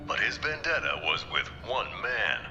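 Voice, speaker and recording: A man's voice: on the low side, sing-song, moderate pace, in a large hall, dull audio, over music. An actor playing a male TV presenter narrating in a dramatic, declaiming broadcast style.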